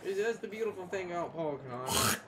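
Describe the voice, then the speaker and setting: A man's voice: high voice, expressive, normal speed. A man giving casual, opinionated gaming commentary, sometimes hesitating mid-thought as he makes his point. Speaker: host of a let's play series. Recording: clear background noise.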